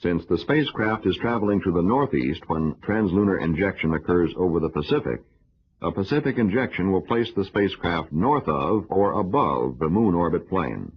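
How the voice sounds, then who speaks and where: Deep-voiced man narrating an old educational film. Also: expressive, husky. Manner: formal, measured, instructional. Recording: dull, lo-fi old film soundtrack.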